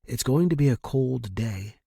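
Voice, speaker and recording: A man reading a dramatic first-person story in measured, deliberate short clauses. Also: medium pitch, lively intonation, creaky, mellow, breathy edge, dry recording, clean studio sound. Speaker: narrator. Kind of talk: dramatic scripted fiction narration, first-person present tense.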